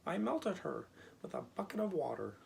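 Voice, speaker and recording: A man's voice: on the high side, very animated, moderate pace, gravelly, slight background noise, pitched above the performer's own voice, heavily acted. A man voicing an earnest, indignant young girl from a storybook, exclaiming and insistent.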